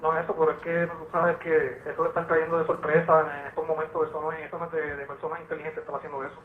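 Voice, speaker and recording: A man argues over a jail phone, scolding and insistent. His words pour out extremely fast. The line is narrow and tinny, with echo and some noise. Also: on the high side, little pitch movement. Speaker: jail inmate on a phone call. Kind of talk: tense private phone argument. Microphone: telephone.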